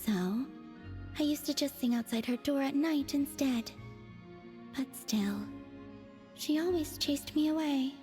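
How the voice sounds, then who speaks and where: A woman playing a detached, quietly innocent young woman in a video game, wistful and understated, with pensive pauses. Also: sits high, soft background music. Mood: matter-of-fact.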